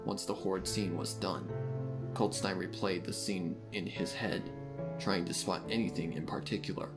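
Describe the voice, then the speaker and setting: A man reading scripted third-person fan fiction as the narrator of a dark mystery story. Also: low voice, fairly even intonation, normal speed, over loud music.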